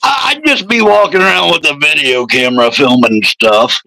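A man in his forties with a slightly raspy voice, hosting a casual podcast chat with crude jokes. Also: on the high side, moderate pace, sharp tone, no room sound, poor audio. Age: 40s. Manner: joking, crude, rambling. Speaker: podcast host.

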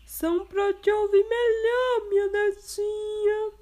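A woman's voice: very high voice, little pitch movement, takes it slowly, dark tone, pitched above the performer's own voice. A woman voicing a sly male wolf in a children's storybook reading, coaxing in a faked sweet voice. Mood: sweetly sly.

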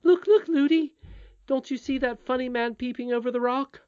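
A woman's voice: sits high, normal speed, warm tone, smooth, no echo, highs cut off, voice pushed up, close to an everyday voice. A woman voicing a curious, fearless little princess in a storybook, chattering insistently. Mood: excited, insistent.

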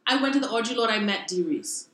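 Animated young woman from the Bahamas, roomy sound. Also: fairly high pitch, brisk. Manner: animated, laughing, lively storytelling. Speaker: guest. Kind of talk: casual interview chat. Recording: roomy echo.

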